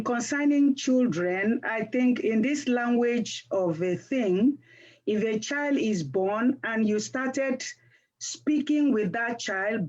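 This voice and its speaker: A woman's voice: a little high, darkish tone, highs cut off. A woman politely explaining her opinion in an informal discussion.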